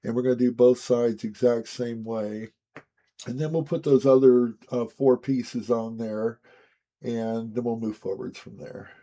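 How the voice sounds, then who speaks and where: A man with a hoarse, raspy voice as he gets his voice back, hosting a casual, chatty walkthrough of building a scale model. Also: mid-range voice, unhurried. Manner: relaxed, chatty, frequent "guys" and "um". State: hoarse, recovering from lost voice.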